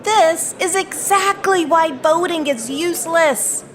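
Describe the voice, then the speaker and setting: A woman's voice: very high voice, slow, fairly bright tone, a faint crowd, full character voice. A woman playing an indignant, skeptical neighborhood resident in an audio drama, scornful and protesting.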